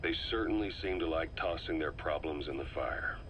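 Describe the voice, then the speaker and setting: A deep-voiced stern military interrogator. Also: male voice, normal speed, a bit bright, a little hiss or hum. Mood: dry, analytical. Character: stern, probing military intelligence officer. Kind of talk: dramatic video game dialogue.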